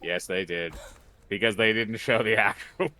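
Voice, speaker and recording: A man's voice: on the low side, normal speed, pushed and bright. A man co-hosting a livestream, chatting casually and bluntly, with some swearing. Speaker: livestream co-host. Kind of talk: casual chat about films.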